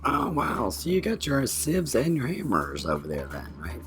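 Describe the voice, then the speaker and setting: Lively man with a fading voice. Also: mid-range voice, moderate pace, faint music behind. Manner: short reactions and questions. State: losing his voice. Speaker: co-host watching a friend's build. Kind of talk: casual chat.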